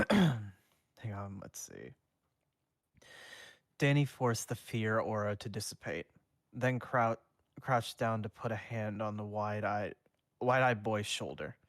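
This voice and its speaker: A man streamer reading a fanfic aloud, haltingly, with frequent self-corrections. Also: fairly low pitch, dry recording, clean studio sound. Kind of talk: fanfic narration, read live.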